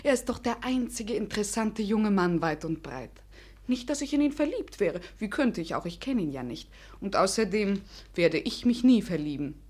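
A woman's voice: medium pitch, very animated, moderate pace, darkish tone. An actress playing a romantic, haughty young lady of the gentry in a German radio drama, imperious and eagerly curious. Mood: coaxing. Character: impatient, romantic young noble lady, haughty but girlish.

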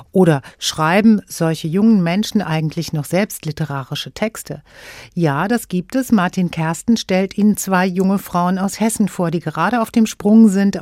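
Female studio anchor, lowish voice, dry studio sound, formal read. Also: darkish tone, no room sound. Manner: measured, formal. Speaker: news anchor. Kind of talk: scripted studio presentation.

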